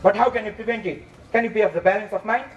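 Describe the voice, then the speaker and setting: A man's voice: high voice, racing, muffled recording, close to an everyday voice. A young man in a school stage play acting a bitter, menacing moneylender who speaks with insistent threats. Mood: coldly threatening. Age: young adult. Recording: distant mic, faint background noise.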